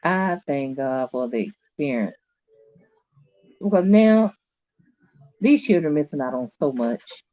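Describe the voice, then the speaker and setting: A woman in her late forties with a Southern drawl hosts a relaxed online chat. Her voice rises and falls freely, she talks in a homey, folksy way, and the audio sounds slightly dull and lo-fi. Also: low voice, slow pace, pauses often. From North Carolina, US. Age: late 40s. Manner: folksy, calls people honey and sweetie. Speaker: host.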